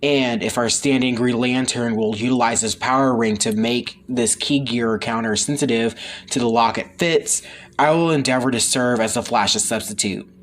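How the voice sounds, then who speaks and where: A man's voice: mid-range voice, normal speed, a bit bright, a little hiss or hum, lightly acted. A man voicing a logical android superhero from a comic, speaking in formal, precise phrases. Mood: earnest, logical. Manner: stiffly formal, precise wording.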